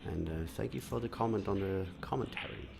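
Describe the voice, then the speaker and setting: A man from Denmark commenting live on a pool match, explaining shots in a relaxed way and answering viewer comments, with frequent fillers. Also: lowish voice, brisk, vocal fry, background noise.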